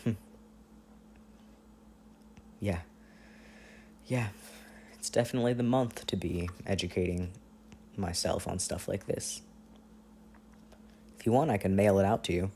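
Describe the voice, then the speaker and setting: A man playing an affectionate, playful boyfriend on a long-distance phone call, in intimate, casual ASMR roleplay with gentle teasing and hesitant pauses. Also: low voice, slight background noise. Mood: earnest, chatty. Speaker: audio roleplay performer.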